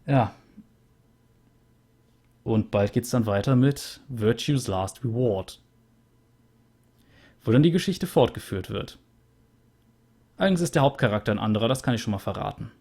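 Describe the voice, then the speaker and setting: A man's voice: medium pitch, animated, normal speed, long pauses, a little mellow, breathy edge. A young man hosting a let's play, chatting casually and a bit rambly as he sums up a game, recorded on a USB studio mic at home. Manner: chatty and rambling, with many fillers. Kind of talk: casual game wrap-up commentary. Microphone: USB studio microphone.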